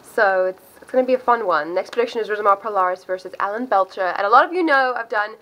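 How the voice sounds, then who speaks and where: A woman's voice: medium pitch, lively intonation, fast, bright, forceful tone, poor audio. A woman from South Africa with an accent that mixes South African and American, chatting casually and upbeat to camera as she gives her fight predictions. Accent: mixed South African and American. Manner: chatty, upbeat, self-interrupting. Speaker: MMA video host.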